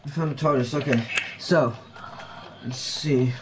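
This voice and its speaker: A man with a Scottish accent voicing the Doctor, a quirky male time traveler hero, with jokey quick reactions in a casual game roleplay. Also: mid-range voice, background noise, close to an everyday voice. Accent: Scottish, put on.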